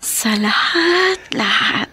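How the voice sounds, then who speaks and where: A woman acting a guarded but tender, burdened adult daughter in a Filipino radio drama, with emotional, drawn-out delivery. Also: a little high, animated, bright, forceful tone, a little hiss or hum, exaggerated voice. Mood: tender, tearful.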